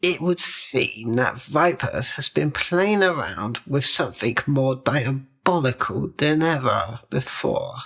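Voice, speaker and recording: An actor playing a grave spymaster in a fantasy audio drama, speaking formally and deliberately in a husky voice. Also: male voice, mid-range voice, a bit bright, exaggerated voice. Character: measured, ominous male spymaster reporting to his chief.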